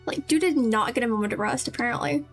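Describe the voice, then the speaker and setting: A woman's voice: on the high side, quick pace, soft background music. A woman streaming a game, giving casual live commentary in an easy chatty style.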